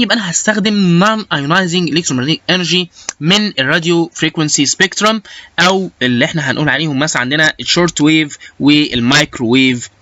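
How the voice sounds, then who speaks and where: A man's voice: on the high side, bright, forceful tone. A man with an Egyptian Arabic accent giving an informal, explanatory lecture, dropping English technical terms into his speech. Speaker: lecturer, biomedical engineering instructor.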